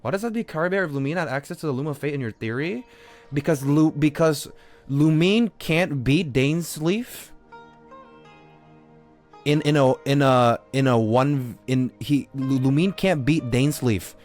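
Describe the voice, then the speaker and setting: A man's voice: fairly high pitch, unhurried, darkish tone, faint music behind. A man live streaming a game with unscripted commentary, arguing insistently, repeating himself and swearing casually. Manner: argumentative, repetitive, swears casually.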